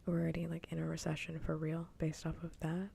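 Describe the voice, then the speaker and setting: A woman speaks in a low, soft and breathy whisper, very close to the microphone as on an ASMR stream. Her tone is dark and mellow, and her chat is casual and frankly opinionated. A faint low rumble sits behind the voice. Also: monotone, brisk. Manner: casual, opinionated, chatty with dry humour.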